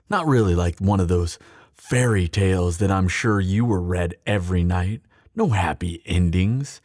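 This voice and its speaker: Slow, sardonic, bitter thief acted by a man. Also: fairly low pitch, darkish tone, breathy edge, no echo, clean studio sound, exaggerated voice. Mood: bitter, mocking storytelling. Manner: sardonic, theatrical, deliberate pauses. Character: bitter, mocking young male thief hardened by grief. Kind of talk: dramatic fantasy audio roleplay, addressed to listener.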